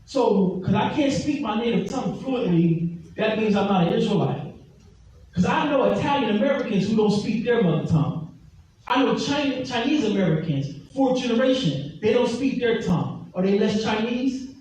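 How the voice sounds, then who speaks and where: A man with a high but mellow voice preaches an emphatic teaching sermon, repeating his scripture references for the congregation. He is heard from a distance in a very echoey hall, with faint noise underneath. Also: lively intonation, moderate pace.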